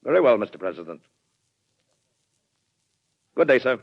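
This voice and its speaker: An actor playing a proud, stubborn retired colonel with an American accent, formal and emphatic in 1940s radio acting style. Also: male voice, mid-range voice, very animated, normal speed, vocal fry, no room sound. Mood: subdued. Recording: dry, muffled 1940s radio recording.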